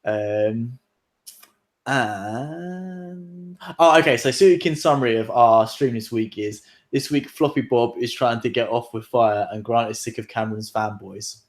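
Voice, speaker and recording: A man's voice: mid-range voice, measured pace. A man hosting a casual chat show, rambling with lots of fillers and laughs. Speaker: podcast host.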